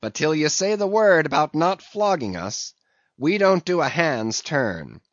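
A rough sailor ringleader, as a male reader puts him on, stands his ground with forceful, defiant speech. His voice sits a little above the reader's own. The recording is dull and dry. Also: fairly high pitch, sing-song, unhurried, no room sound, voice pushed up.

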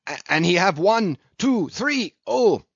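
A man voicing an excitable foreign harpooner in an audiobook, faltering through broken English and groping for words. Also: high-pitched, takes it slowly, vocal fry, slightly rough, dry recording, lo-fi sound, voice pushed up, exaggerated voice. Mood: excited, groping.

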